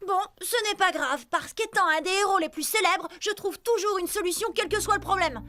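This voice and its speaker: A woman voicing a boastful, cheerful young boy sailor hero in an animated cartoon, breezy and self-assured. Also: very high-pitched, fairly quick. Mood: confident, breezy. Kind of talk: animated cartoon dub acting.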